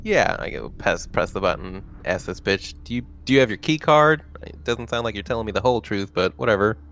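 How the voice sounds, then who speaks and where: Gravelly, low-voiced man playing a tabletop game. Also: lively intonation, fairly quick, fairly bright tone, slight background noise. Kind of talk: casual chat.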